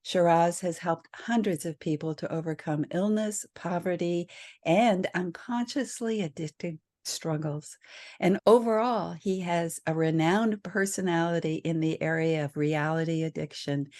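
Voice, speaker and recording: An older woman, a psychologist, hosting a podcast interview in casual chat, laughing often. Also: fairly low pitch. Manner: slow, warm, laughs often.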